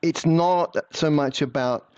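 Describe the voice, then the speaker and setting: A man's voice: fairly high pitch, slow pace, darkish tone, smooth. A man being interviewed, explaining his views in a conversational way and often repeating words as he starts his sentences. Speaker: guest, language-learning speaker. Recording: slightly muffled lo-fi sound.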